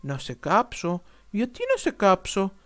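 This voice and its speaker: A woman voicing a shy, gentle dragon who blows bubbles, speaking softly. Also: mid-range voice, sing-song, no echo, close to an everyday voice. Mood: puzzled. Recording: soft music underneath.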